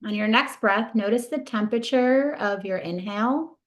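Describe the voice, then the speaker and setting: A woman leading a guided body scan meditation in a soothing, gentle, unhurried manner. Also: on the high side.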